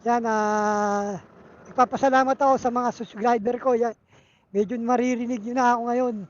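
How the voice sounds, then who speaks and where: A Filipino motovlogger talking casually and haltingly while riding a motorcycle, speaking through a helmet intercom. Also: medium pitch, steady tone. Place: on a motorcycle outdoors in traffic. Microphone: Bluetooth helmet intercom microphone. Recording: muffled helmet intercom with loud road noise. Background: vehicle and road noise.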